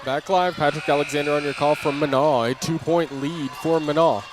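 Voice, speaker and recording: A man's voice: fairly high pitch, fairly even intonation, normal speed. A man giving live basketball play-by-play commentary from a noisy gym with a crowd. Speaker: sports play-by-play announcer. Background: crowd and bouncing basketball in gym.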